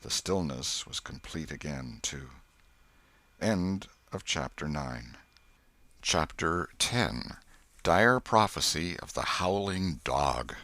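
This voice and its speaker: A man narrating an audiobook in an expressive, literary storytelling style. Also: low voice, no room sound.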